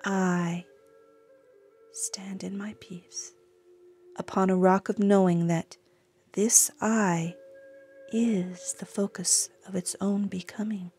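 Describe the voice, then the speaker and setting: A woman recites her own poem in a breathy, often whispery voice, with deliberate, reverent phrasing and pauses. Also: medium pitch, steady tone, a little mellow, faint music behind. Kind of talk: slow contemplative poetry recitation.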